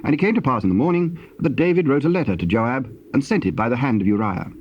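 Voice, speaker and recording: A British man narrates King James scripture with solemn, measured delivery. His voice is medium in pitch. The recording is an old cassette, slightly dull and hissy. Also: lively intonation.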